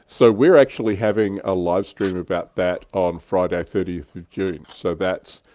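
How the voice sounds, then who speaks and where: A man's voice: deep voice, fairly even intonation, moderate pace, a little mellow. A man hosting a livestream in casual, chatty talk. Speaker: livestream host.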